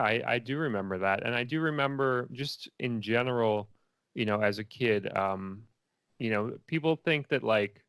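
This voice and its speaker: A man's voice: lowish voice, fairly bright tone, smooth, dry recording. A middle-aged man giving casual, reflective interview answers, feeling his way through his thoughts as he talks. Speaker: interview guest, filmmaker.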